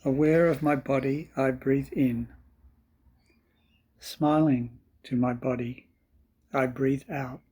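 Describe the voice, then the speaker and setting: A man with a slightly husky voice guiding a meditation in calm, measured, deliberate phrases. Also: medium pitch, poor audio. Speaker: meditation teacher. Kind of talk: slow guided meditation.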